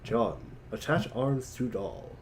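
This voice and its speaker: A man's voice: mid-range voice, big pitch swings, takes it slowly, slight background noise. A man reading short scripted commands in a webcomic dramatic reading.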